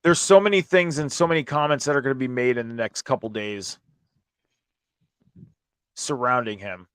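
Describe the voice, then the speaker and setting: A man with a hoarse voice talks as the host of a casual recap show. His pitch is average and the sound is close and dry, with little room around it. He speaks informally, like someone chatting through an event. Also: normal speed, dry recording. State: hoarse after a night of screaming at a concert. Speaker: host of a wrestling review show.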